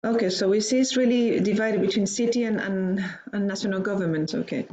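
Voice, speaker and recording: A woman's voice: mid-range voice, warm tone, poor audio. Woman moderating an online session. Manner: brisk, repeats "okay". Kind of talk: moderating and introducing a speaker.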